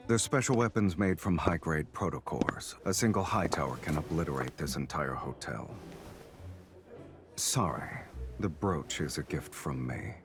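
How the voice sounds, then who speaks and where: A man's voice: rumbling low, animated, normal speed. An actor playing a cool, commanding male crime boss and love interest in a romantic video game, smug and teasing. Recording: music under the voice.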